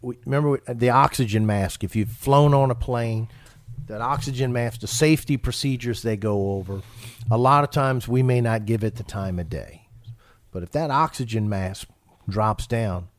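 A man's voice: mid-range voice, normal speed, dry recording. A man hosting a financial radio show, talking casually and often restarting his sentences with repeated words.

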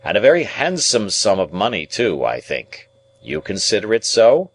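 Man acting domineering, deep-voiced lawyer, interrogating. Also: sing-song, creaky, slightly rough, full character voice. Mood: formal, insistent. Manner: emphatic, interrogating, deliberate, pedantic. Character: domineering, shrewd middle-aged male lawyer and guardian. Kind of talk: audiobook character dialogue.

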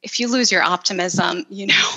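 A woman's voice: medium pitch, moderate pace, creaky, low-quality recording. A middle-aged woman from El Salvador, an architect talking through her background as an interview guest, with frequent restarts and fillers. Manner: warm, enthusiastic storytelling with frequent restarts and "um"s. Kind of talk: conversational interview.

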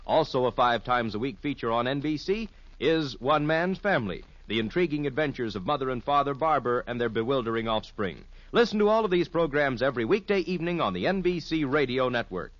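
A man's voice: medium pitch, lively intonation, fairly quick, dry recording. An American man reading network promotions in 1950s radio advertising style. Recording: dry, muffled old radio broadcast.

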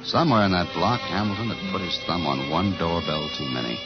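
A hard-boiled police detective with an extremely low voice, dry and clipped. He sounds tough and world-weary, in old radio drama style, with muffled sound and clear music behind him. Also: brisk. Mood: brooding narration. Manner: clipped, deadpan questioning. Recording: dull old 1950s radio recording, music.

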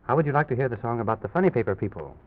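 A man's voice: mid-range voice, animated, very fast. A man hosting an old-time children's radio show, telling stories genially to young listeners. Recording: phone-like old radio recording with music.

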